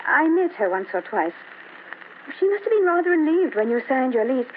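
A woman's voice: sits high, sing-song, normal speed. A woman playing a polite, knowing neighbor in an old-time radio drama, composed and reserved, on a tinny recording with some noise. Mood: polite, neighborly.

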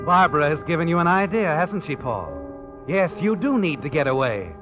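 Ominous, taunting higher-pitched male narrator. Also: very animated, fairly quick. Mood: knowing, taunting. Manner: insinuating, ominous. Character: knowing, taunting male narrator haunting the hero. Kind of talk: 1940s radio suspense narration in second person. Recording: dull vintage radio recording. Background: dramatic music.

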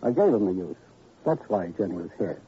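Brash fight promoter: very husky, slangy, glib, wisecracking. Vintage radio crime drama on a dull recording. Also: male voice, low-pitched, sing-song, a little fast, mellow, full character voice. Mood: confiding. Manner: slangy, wisecracking tough-guy talk. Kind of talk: old-time radio crime drama acting.

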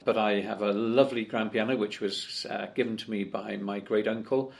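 A man's voice: fairly low pitch, fairly even intonation, normal speed, gravelly. An older man from Wales, talking as a guest in a conversational interview, chatty and full of fillers and restarts. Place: office in Parliament.

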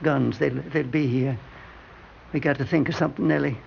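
An actor playing a frail, confused old elevator man, tender and halting, in an old-time radio drama. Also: medium pitch, fairly even intonation, gravelly. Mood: confused, anxious. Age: older. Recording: dull, hissy old radio recording.

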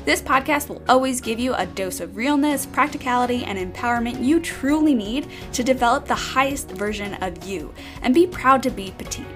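A woman's voice: lively intonation, brisk, hardly any pauses, fairly bright tone, soft background music. Bubbly young woman podcast host. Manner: upbeat, bouncy pitch, says "like" a lot. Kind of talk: scripted intro, then casual interview.

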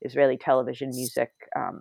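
Middle-aged woman author, reflective, halting interview answers, dry close mic. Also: very deep voice, creaky, darkish tone, no room sound. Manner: reflective, many restarts and hedged pauses.

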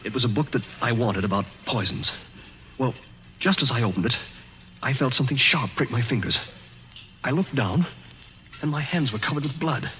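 A man's voice: medium pitch, expressive, normal speed, long pauses, close to an everyday voice. An actor playing a nerve-racked young man, intense and confiding, in a 1940s radio suspense drama. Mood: haunted. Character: haunted, nerve-racked young laboratory man awaiting murder. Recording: muffled, noisy 1940s radio recording.

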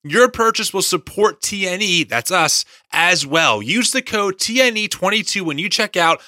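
A man's voice: sits high, animated, normal speed, bright, forceful tone, dry recording. A man hosting a podcast in an upbeat, conversational way, casually introducing and interviewing. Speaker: podcast host.

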